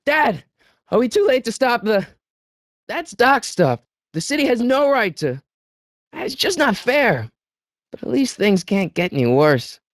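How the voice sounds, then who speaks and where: Game role: earnest, excitable teen boy adventurer, very lively pitch movement, flustered stammer, dry studio sound. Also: a little slow, long pauses, no room sound, heavily acted. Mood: dismayed. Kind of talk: video game voice acting.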